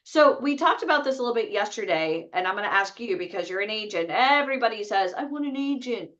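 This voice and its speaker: A woman's voice: mid-range voice, animated, fast talker, a bit bright, dull audio. A woman hosting a live online session, upbeat and emphatic, restating points for the audience. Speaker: host.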